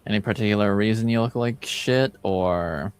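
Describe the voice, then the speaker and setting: A man's voice: lowish voice, moderate pace, clean tone, lightly acted. A man playing a shady, worldly tavern informant in a tabletop game, talking in a dry, blunt, knowing way. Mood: blunt, wry. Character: shady gold-toothed male tavern informant, wry, worldly. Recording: music behind the voice.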